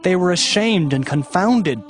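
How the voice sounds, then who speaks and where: A man's voice: high-pitched, animated, a little slow, soft background music. An actor playing an earnest male prophet, solemn and declamatory, in a dramatized scripture narration. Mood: grieving.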